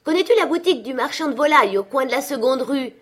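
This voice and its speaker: A woman's voice: on the high side, normal speed, darkish tone, lightly acted. A woman voicing a giddy, reborn old miser in an exclamatory, breathlessly exuberant storybook reading. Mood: eager.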